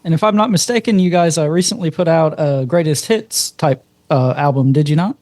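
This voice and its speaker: A man with a somewhat high voice hosts an interview, friendly and encouraging. He prompts his guest with easy questions and steady affirmations, recorded close and dry. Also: brisk, dry recording. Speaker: podcast co-host. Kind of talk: casual interview chat.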